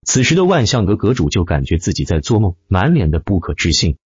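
Male audiobook narrator, low and husky, theatrical scripted delivery, close dry sound. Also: sing-song, a little fast, no echo, dull audio. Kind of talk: dramatic scripted web-novel narration.

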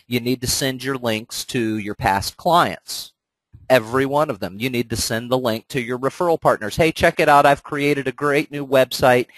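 A man's voice: medium pitch, dry recording, low-quality recording. A man with a stuffed-up nose from a cold, presenting a training webinar in an earnest, conversational way, speaking directly to his listeners.

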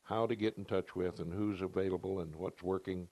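A man's voice: low voice, fairly even intonation, a little fast, dark tone, no room sound. An older man giving plain, matter-of-fact technical answers in a TV news interview, speaking into a reporter's handheld mic. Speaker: ham radio operator interviewee. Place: home radio room, then parked truck.

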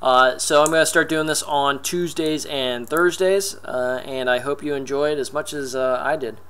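A man's voice: a little high, moderate pace, sharp tone, clear, resonant tone. A man speaking casually as a video host, introducing a clip.

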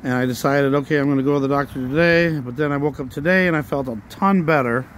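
A hoarse man talking casually while walking outdoors on a residential street, a little out of breath, rambling in a plain way and now and then coughing or sighing. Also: mid-range voice, fast talker, a little hiss or hum. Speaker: vlogger. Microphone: handheld phone while walking.

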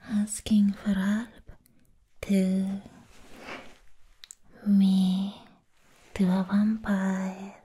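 A woman acts out a sweet young female vampire with a teasing, hungry streak, in an ASMR roleplay. She speaks in a very breathy, soft whisper. Her phrases are drawn out very slowly and stay in a narrow, mellow range of pitch. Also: exaggerated voice. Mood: soothing, coaxing. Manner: slow, lingering, teasing phrases.

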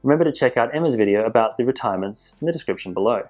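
A man presenting cruise industry news in measured, scripted voice-over narration. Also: mid-range voice, lively intonation, darkish tone, faint music behind. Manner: measured, informative delivery. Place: voice-over, not in pictured places.

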